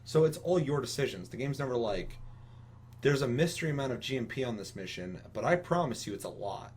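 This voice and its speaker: A man's voice: medium pitch, expressive, brisk, slight background noise. A man in a casual, profane gaming chat as podcast host, often quoting imagined characters mockingly.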